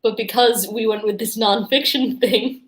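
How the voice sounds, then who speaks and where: Female co-host for an informal online book club. Higher-pitched voice, conversational delivery. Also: fairly even intonation, normal speed. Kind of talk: casual livestream book chat.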